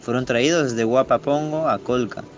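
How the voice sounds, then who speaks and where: A man's voice: mid-range voice, moderate pace. A man narrating a scripted radio novel. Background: faint music.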